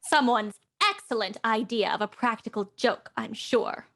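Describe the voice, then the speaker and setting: An actress playing a blunt actor who voices a brisk houseguest in a period play. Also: female voice, on the high side, animated, vocal fry, fairly bright tone. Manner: blunt, pointed. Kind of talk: audio drama acting.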